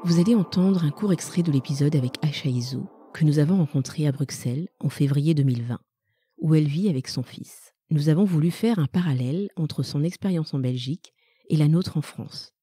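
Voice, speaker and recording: Podcast host, woman, Malagasy native, friendly and chatty; dark, husky voice, dry close sound. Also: low voice, no room sound. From Madagascar. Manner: friendly, many fillers, quick laughs. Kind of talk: conversational interview.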